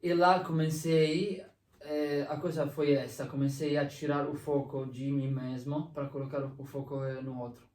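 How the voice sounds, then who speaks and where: A young adult man from Italy speaks Portuguese with a strong Italian accent. He pauses, fills gaps and restarts as he hunts for words. He sounds distant from the mic in an echoey room. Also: on the high side, flat delivery, a little mellow. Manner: hesitant, many fillers and restarts. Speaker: guest. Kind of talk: casual interview conversation.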